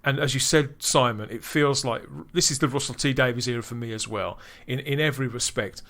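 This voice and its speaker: A man's voice: medium pitch, brisk, fairly bright tone. Man, podcast host, casual review chat, eager, stumbling restarts. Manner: enthusiastic, many restarts.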